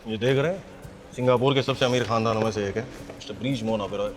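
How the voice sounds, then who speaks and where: An actor playing a knowing gossip who confides and explains, in a film comedy. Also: male voice, on the low side, quick pace, close to an everyday voice. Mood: knowing, gossipy. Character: gossipy, knowing man pointing out rich families. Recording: music behind the dialogue.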